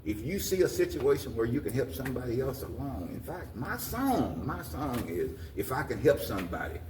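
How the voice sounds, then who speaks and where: An older American man giving an informal interview, talking in a halting, folksy way with repeated phrases. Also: medium pitch, big pitch swings, a little mellow. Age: 60s or older. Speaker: interview guest, community leader. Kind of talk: informal talk-show interview. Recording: roomy echo, distant mic, faint noise.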